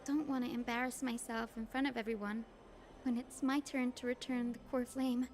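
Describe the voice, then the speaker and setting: An actress playing a gentle, modest young woman healer in a video game, speaking politely and earnestly. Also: on the high side, level tone, normal speed, a little hiss or hum, natural voice. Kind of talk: scripted game voice acting. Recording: music behind the voice. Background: background music.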